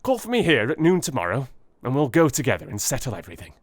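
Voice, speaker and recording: A man playing an eager, eccentric gentleman detective in a theatrical audiobook, speaking frankly in brisk, changeable, gentlemanly phrases. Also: medium pitch, huge pitch swings, no echo, clean studio sound, voice pushed up. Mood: merry, brisk. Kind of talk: theatrical audiobook character acting.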